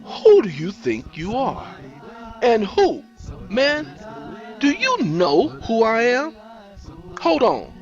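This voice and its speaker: A man playing a violent, threatening gang boss who bullies and shouts in an acted drama. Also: very high-pitched, wildly swooping pitch, slow pace, pauses often, dull audio, soft background music, voice pushed up, heavily acted. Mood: furious. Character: violent, menacing male gang boss, quick to rage.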